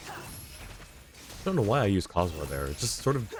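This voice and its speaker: A man's voice: on the low side, wildly swooping pitch, a little slow. A man streaming a game live, chatting casually and rambling as he thinks aloud. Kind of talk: casual livestream chat.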